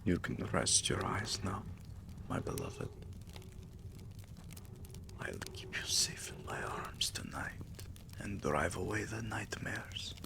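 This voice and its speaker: Guy doing a tender vampire king asmr, super slow gravelly murmur, kinda whispery, possessive lover. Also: animated, exaggerated voice. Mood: soothing, loving. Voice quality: deep, gravelly. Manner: slow, soothing, breathy murmuring, often whispering. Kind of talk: intimate ASMR roleplay.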